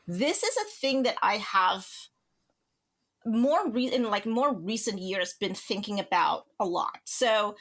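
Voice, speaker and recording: A woman's voice: medium pitch, gravelly, fairly bright tone. A woman from Trinidad and Tobago, chatting as an author guest on an interview podcast, rambling with lots of fillers and restarts. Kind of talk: casual interview conversation.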